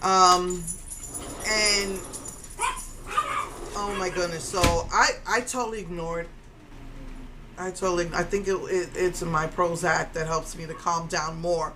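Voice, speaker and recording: A woman in her fifties telling a story in an animated, indignant way, with a strong voice. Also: on the low side, unhurried, long pauses, noisy background. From Puerto Rico. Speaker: vlogger. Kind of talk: casual storytelling rant.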